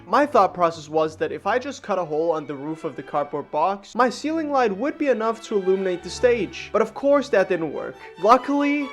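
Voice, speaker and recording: A streamer narrates a casual vlog in a mid-pitched voice. The delivery is candid and self-deprecating, with some swearing, and music plays behind it. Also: fairly bright tone. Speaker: streamer and puppet show maker.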